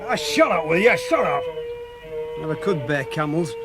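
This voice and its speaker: An actor playing a garrulous, grumbling, cheeky camel guide in a radio drama. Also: male voice, a little high, very animated, moderate pace, over loud music. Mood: irritated. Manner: garrulous, complaining, hesitant explanations.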